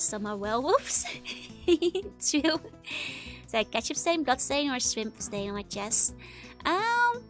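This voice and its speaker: A woman's voice: a little high, fairly bright tone. A young woman with a Dutch accent chatting casually while streaming a game, rambling with lots of fillers and giggles. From Netherlands. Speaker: VTuber streamer. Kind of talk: casual gameplay chat reading chat.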